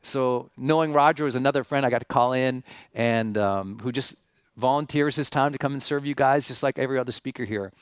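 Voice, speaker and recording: A man's voice: medium pitch, a little fast, smooth, no room sound, studio quality. A man hosting a seminar as a motivational speaker, telling stories emphatically, with repeated phrases and rhetorical questions. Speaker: seminar host and speaker introducing a guest. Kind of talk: motivational stage talk, storytelling and guest introduction.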